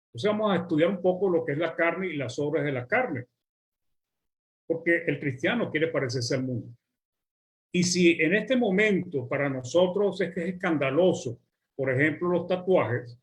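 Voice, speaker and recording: Male Venezuelan preacher, somewhat high voice, slow emphatic sermon delivery. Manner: measured, list-like preaching with drawn-out words.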